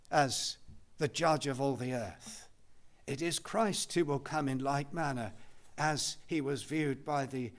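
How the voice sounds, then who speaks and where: An older pastor preaches from a lectern, speaking slowly and deliberately. His voice sits in a middle register. He is recorded on a lectern microphone in a church hall. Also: medium pitch. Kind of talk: expository Bible study sermon.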